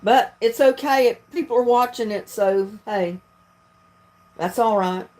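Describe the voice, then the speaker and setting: A woman's voice: fairly high pitch, unhurried, a little mellow. A woman from North Carolina with a Southern accent, chatting casually and folksily as she hosts a livestream. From North Carolina, United States. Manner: folksy, chatty, laughs often. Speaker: video creator hosting a livestream.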